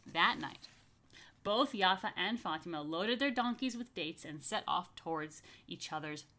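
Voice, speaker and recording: A woman reading a picture book to young families as a rabbi, stretching key phrases expressively and slipping into chatty asides. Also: fairly low pitch. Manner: expressive, slow emphatic storytelling with chatty murmured asides. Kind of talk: children's story read-aloud with casual asides.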